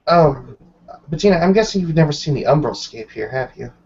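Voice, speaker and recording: A man's voice: a little high, expressive, fairly quick, creaky, a little mellow, slightly rough. A man in a tabletop roleplaying game, talking casually in character and narrating actions, on a dull recording.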